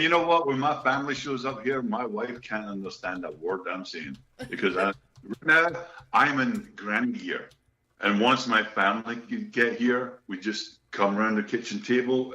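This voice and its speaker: A man's voice: fairly low pitch, moderate pace, bright, forceful tone. A middle-aged Scottish man, a guest musician, telling stories in a chatty, joking way. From Scotland. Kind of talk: casual interview chat. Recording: echoey room, dull lo-fi sound.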